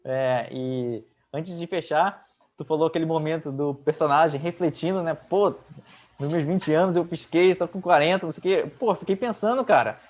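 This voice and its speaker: A man's voice: fairly high pitch, moderate pace, darkish tone. A young man in his twenties with a Brazilian accent, giving a slangy, casual review as co-host. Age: 20s. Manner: slangy, colloquial. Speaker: co-host of a comics review channel. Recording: slightly dull, lo-fi sound.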